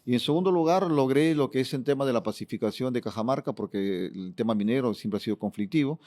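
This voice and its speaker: A man's voice: mid-range voice, fast, dark tone. Peruvian man explaining in an interview. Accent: Peruvian Spanish. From Peru. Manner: explanatory, fond of "fíjate" and "eh" fillers. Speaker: politician, former regional governor. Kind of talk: interview answers.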